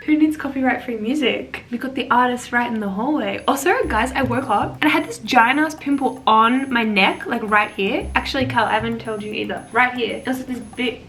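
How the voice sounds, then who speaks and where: A woman's voice: on the high side, fairly quick, hardly any pauses, a bit bright, a little hiss or hum. A young woman chatting casually to camera at home in her apartment, self-mocking and swearing now and then. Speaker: lifestyle vlogger.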